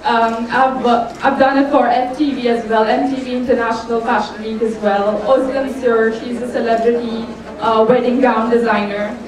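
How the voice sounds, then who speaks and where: High-voiced young woman in a very echoey hall. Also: flat delivery, normal speed, few pauses, crowd noise. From Qatar. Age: 20s. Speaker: beauty pageant contestant. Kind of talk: self-introduction on stage. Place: large indoor stage before an audience. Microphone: handheld microphone through speakers, heard from afar. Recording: echoey hall PA, distant pickup.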